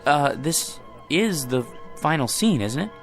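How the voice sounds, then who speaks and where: Radio drama: devoted, weary husband, earnest with vocal fry, light music behind. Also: male voice, on the high side, sing-song, measured pace. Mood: tender, hopeful. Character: weary, devoted, long-suffering husband of a star.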